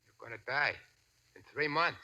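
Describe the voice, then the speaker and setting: A man's voice: mid-range voice, normal speed, bright, forceful tone, lo-fi sound. An actor playing a panicked, desperate male crook in 1940s radio drama style, begging and stammering frantically. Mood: alarmed.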